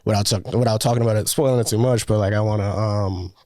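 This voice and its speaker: A man's voice: fairly low pitch, fairly even intonation, quick pace, a little mellow, dry recording, studio quality. A young man from the Dominican Republic, a student filmmaker, talking casually and rambling with many fillers; his first language is Spanish. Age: young adult. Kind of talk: casual conversation.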